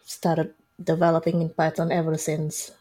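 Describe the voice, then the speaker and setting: A woman's voice: low voice, completely flat delivery, normal speed, warm tone, husky. A woman in her forties with an Indonesian accent, explaining things casually as an interview guest. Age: 40s. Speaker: interview guest, software developer.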